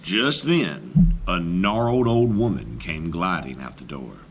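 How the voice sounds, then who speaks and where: A man, a teacher, reading a folktale aloud for children with expressive narration. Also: deep voice, slow, creaky, background noise. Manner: expressive, rising and falling with the tale. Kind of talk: storybook read-aloud narration.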